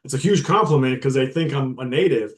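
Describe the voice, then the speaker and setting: A man in a university classroom delivering an informal guest talk. His voice sits in an ordinary middle pitch, and his delivery is loose and conversational, with many fillers and restarts. He breaks off now and then to explain terms simply for his listeners. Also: a little fast. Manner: casual and conversational, with many fillers and repeated words; explains terms plainly for the audience. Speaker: guest lecturer. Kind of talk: informal university lecture to students.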